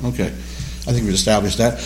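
A man teaching a Bible study class, animated and questioning, repeating key words as he explains them. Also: lowish voice, a little fast, slight background noise, poor audio. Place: classroom or church hall with a small group.

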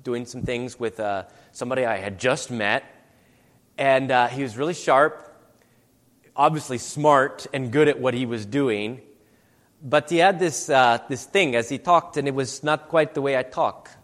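A man's voice: medium pitch, unhurried, a bit bright. Man preaching in an echoing hall. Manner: conversational, asks many rhetorical questions. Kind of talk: sermon. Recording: long hall reverb.

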